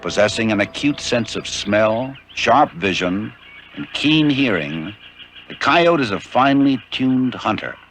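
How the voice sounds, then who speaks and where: A man narrating an educational nature documentary in a measured, informative way. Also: mid-range voice, a little hiss or hum. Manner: measured, even, informative delivery. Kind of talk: scripted narration.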